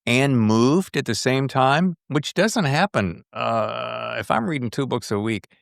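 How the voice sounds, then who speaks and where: An American man hosting a conversational interview, reflective and halting as he circles back through his thoughts. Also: medium pitch, expressive, vocal fry, dry recording, clean studio sound. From American South. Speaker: podcast host interviewing an author.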